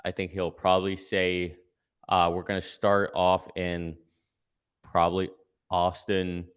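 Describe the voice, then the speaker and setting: Man, very low voice, guest commentator, casual analysis, explanatory, often tags points with "right?". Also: completely flat delivery, takes it slowly, clear, resonant tone. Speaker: guest commentator giving predictions.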